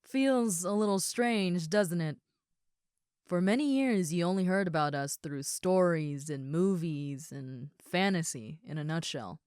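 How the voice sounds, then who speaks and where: A woman playing a big, friendly ogre roommate in an audio roleplay, chatting casually and gently to the listener. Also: fairly low pitch, a little slow, clean tone, dry recording, studio quality, close to an everyday voice. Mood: easygoing, chatty. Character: huge muscular red female ogre roommate, friendly and easygoing.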